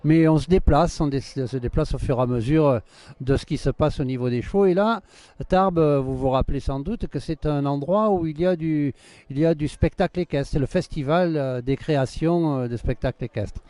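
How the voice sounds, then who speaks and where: Hesitant host with a dry voice. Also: male voice, on the high side, moderate pace, dark tone, dry recording. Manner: hesitant, many fillers. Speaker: interviewer, host. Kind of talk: interview introduction.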